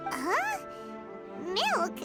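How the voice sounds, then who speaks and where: A tiny floating fairy sidekick from an anime video game, squeaky and extremely high. The childlike chatter is excitable and swoops wildly, with loud music behind it. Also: female voice, very slow, exaggerated voice. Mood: doubtful. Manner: chirpy, exaggerated, speaks of self by name.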